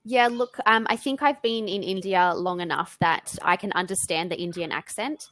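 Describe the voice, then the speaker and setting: A young woman with an Australian accent, chatting relaxed and friendly as an English tutor on a video call. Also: on the low side, moderate pace. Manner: relaxed, chatty, many fillers. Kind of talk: casual online lesson chat.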